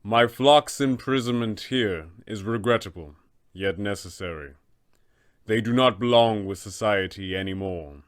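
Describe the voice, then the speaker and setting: A man voicing a serene but sinister abbot in a fantasy tabletop game, speaking in a measured, formal, solemn manner. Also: lowish voice, clean tone, voice pushed down, close to an everyday voice. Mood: solemn, regretful. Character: serene, sinister male abbot, secretly an angel. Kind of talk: fantasy tabletop roleplay villain dialogue.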